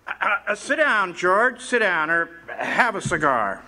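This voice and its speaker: A man playing a scheming, pompous old tycoon in an amateur stage radio drama, speaking condescendingly with drawn-out pauses. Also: sits high, big pitch swings, bright, forceful tone, heavily acted, rough recording. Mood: smug.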